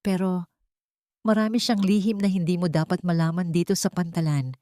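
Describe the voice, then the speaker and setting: A woman's voice: lowish voice, fairly even intonation, moderate pace, warm tone, no room sound, clean studio sound, natural voice. A woman voicing an elderly male mechanic, sorrowful and secretive, in an audio drama. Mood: sorrowful, guarded. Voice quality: voice trembling with emotion.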